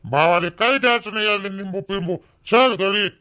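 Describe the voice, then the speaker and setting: A man's voice: high-pitched, normal speed, sharp tone. A man voices a drunk, quarrelsome village elder brother in a cartoon, rambling and challenging in a Vidarbha Marathi accent. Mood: drunken grumbling.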